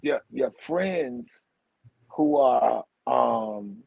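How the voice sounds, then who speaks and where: Slow gravelly Brooklyn man. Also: mid-range voice, lively intonation, dry recording, dull audio. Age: 40s. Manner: rambling, slangy, repeats "you heard?" and "for real". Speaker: guest, teacher and author. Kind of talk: casual spiritual conversation.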